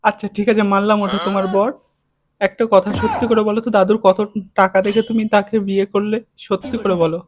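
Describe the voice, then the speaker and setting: High-voiced teasing man, noisy recording. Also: level tone, fast. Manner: teasing, needling, mock-lovelorn. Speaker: prank-call video host. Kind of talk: prank phone call, casual chat.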